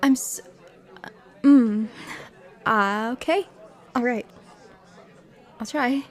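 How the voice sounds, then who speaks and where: A woman acts out a flustered young hospital volunteer in an audio drama. Her voice sits fairly high, and she comes across as shy, apologetic and hesitant. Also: takes it slowly, a faint crowd. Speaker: female lead and narrator of an audio drama. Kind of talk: audio drama acting with reflective first-person narration.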